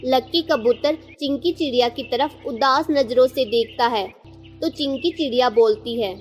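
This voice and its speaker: A woman narrates a children's story from a script. Her voice is high, and soft music runs underneath. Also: normal speed.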